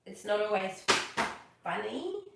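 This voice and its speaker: A woman hosting a casual live storytime, chatting and reading aloud in a playful, expressive manner for children. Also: mid-range voice, slow pace, vocal fry, very noisy. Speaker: live stream storytelling host. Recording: very echoey room, distant from mic.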